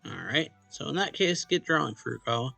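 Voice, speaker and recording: Casual man reading aloud, gravelly edge. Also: mid-range voice, expressive, brisk, pushed and bright. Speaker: let's play host reading a visual novel aloud. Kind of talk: casual read-aloud of game dialogue and first-person narration. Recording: game music behind the voice. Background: game music.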